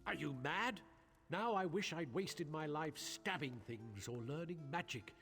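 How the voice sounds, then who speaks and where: A scheming silk merchant from a fantasy video game. He is haughty and spiteful, wheedling with theatrical flair. His pitch swings widely, and faint music plays underneath. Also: expressive, a little slow. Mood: sneering, bitter. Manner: theatrical, pleading turning to sneering contempt. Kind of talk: dramatic video game voice acting.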